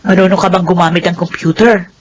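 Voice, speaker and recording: A woman's voice: fairly low pitch, fairly even intonation, brisk, natural voice. A woman playing a worldly, brash young friend in a Filipino radio drama, with a frank and pushy way of talking. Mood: coaxing, brash.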